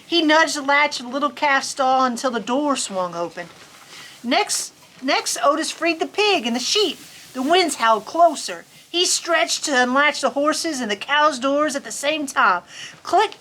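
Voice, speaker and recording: A woman reading a picture book aloud to children in an expressive, folksy, chatty storytime manner. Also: high voice. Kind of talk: animated children's story reading with casual asides. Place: on a farm among sheep.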